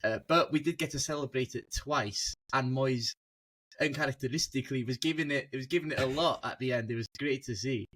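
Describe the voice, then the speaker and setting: A man's voice: medium pitch, brisk, fairly bright tone, slightly rough. A young man as a guest on a casual football podcast, telling stories animatedly and laughing mid-sentence over a video call.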